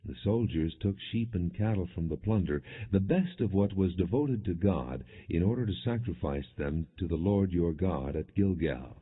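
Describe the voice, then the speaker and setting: A man's voice: low-pitched, animated, normal speed, few pauses, slightly rough. A man voicing a defensive, pleading king in an earnest audiobook reading of scripture. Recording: muffled, phone-like narrow-band audio.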